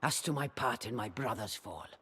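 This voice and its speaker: A woman playing a centuries-old reclusive sorceress crone in a video game, raspy and husky, theatrical and deliberate, haughty and brooding. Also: very deep voice, animated, a bit bright, exaggerated voice. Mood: weary, defensive. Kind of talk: dramatic video game acting. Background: background music.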